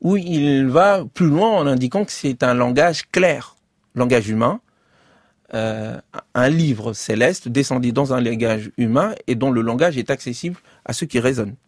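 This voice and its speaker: A man speaking as an author and guest in an interview, thinking aloud in measured talk with many fillers and restarts, recorded close to the mic. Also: mid-range voice, no echo. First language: French.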